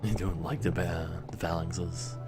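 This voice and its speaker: A man's voice: low voice, fairly even intonation, a little slow, loud music behind the voice. A man livestreaming a game, switching between chatty commentary to his viewers and reading story text aloud as a narrator. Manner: chatty, talking to live viewers.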